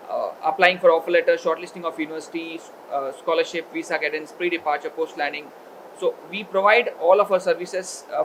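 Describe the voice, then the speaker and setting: Man, high voice, formal event speaker, hesitant delivery, faint background hiss. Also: fairly bright tone, poor audio. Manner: hesitant, many filler pauses. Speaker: education consultancy representative. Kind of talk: formal welcome speech at a college event.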